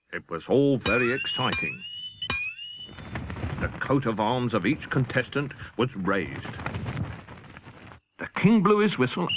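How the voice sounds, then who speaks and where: A man narrating a children's audiobook as an expressive, theatrical storyteller. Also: medium pitch, heavy background noise.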